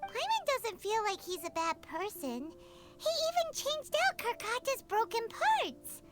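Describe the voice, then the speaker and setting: A tiny fairy companion from a cartoonish game. The voice is extremely high, squeaky and childlike, swooping with naive earnestness over loud children's-style music. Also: female voice, big pitch swings, measured pace, heavily acted. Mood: earnest, puzzled.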